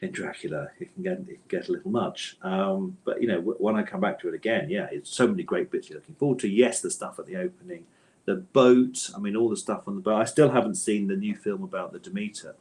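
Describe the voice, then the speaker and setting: A man's voice: low voice, fast talker, a little mellow. A man answering interview questions conversationally as a guest author, with a thoughtful, hesitant manner and bookish phrasing.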